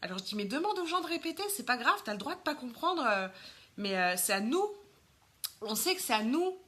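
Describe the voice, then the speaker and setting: A woman's voice: high-pitched, sing-song, fairly quick, sharp tone. A woman from France in her thirties with a French accent, chatting casually on a makeup live stream and greeting viewers. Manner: chatty, lots of fillers, greets viewers while doing makeup.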